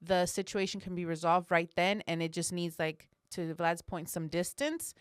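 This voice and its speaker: Female podcast co-host, smooth clear voice, direct and encouraging, dry close sound. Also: on the low side, moderate pace, no echo. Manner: encouraging and direct, with frequent affirming murmurs. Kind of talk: casual podcast conversation.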